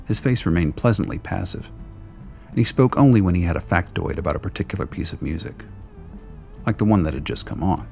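A man narrates a scripted radio drama. His voice is very deep with a creaky, gravelly grain. Soft music sits behind the storytelling. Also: a little fast.

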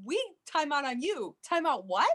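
A woman's voice: high voice, big pitch swings, moderate pace, a bit bright. A woman playing a pleading, protesting underling in an improvised comedy sketch. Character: pleading, snack-loving underling sent on a vaccine mission.

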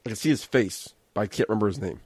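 A man's voice: on the low side, very fast, a little mellow, breathy edge, no echo. Opinionated American man, dry close recording. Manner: opinionated, rambling, sardonic. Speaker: host of a wrestling review show. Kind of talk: casual solo commentary on wrestling shows.

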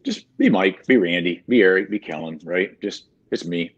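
Man, Michigan accent with Southern touches, lowish husky voice, rambling casual guest, lo-fi video call sound. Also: normal speed. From mid-Michigan, USA. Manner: rambling, self-deprecating, repeats words. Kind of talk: casual online interview chat. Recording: muffled lo-fi video call audio.

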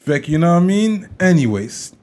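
A man's voice: a little high, big pitch swings, moderate pace, warm tone. A man with a Quebec French accent hosting casually, mixing street slang and English phrases into his French. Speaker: podcast host.

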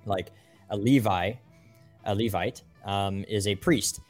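A man's voice: on the low side, slow, a little mellow, faint music behind. A man co-hosting a casual religious discussion podcast in an easygoing, conversational way. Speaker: podcast co-host.

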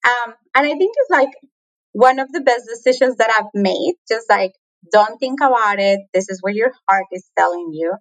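A woman's voice: a little high, animated, normal speed, a bit bright, rough recording. A woman from Colombia with a Colombian accent, a native Spanish speaker, talking reflectively as an interview guest and laughing often. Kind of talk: conversational interview.

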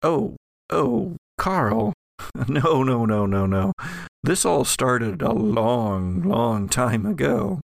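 Man playing an ancient halting female ghost, creaky voice. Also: medium pitch, very animated, dry recording, clean studio sound, heavily acted. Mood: musing, hesitant. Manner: halting, musing, searching for words. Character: ancient ghostly female spirit of ectoplasm, musing and evasive. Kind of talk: improvised dialogue in an audio drama game.

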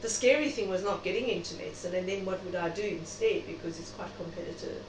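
A woman's voice: lowish voice, quick pace. A middle-aged South African woman speaking reflectively and chattily as a guest in an interview. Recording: noisy, echoey room, distant mic.

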